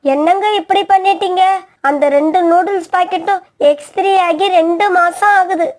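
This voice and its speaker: A woman's voice: very high voice, steady tone, normal speed, darkish tone. A woman voicing an anxious, reproachful pigeon wife in a children's cartoon. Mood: alarmed, reproachful.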